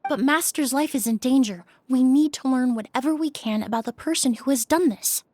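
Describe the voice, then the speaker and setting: An actress playing a composed young woman perfumer in a video game, measured and politely probing. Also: sits high, warm tone, slight background noise, close to an everyday voice.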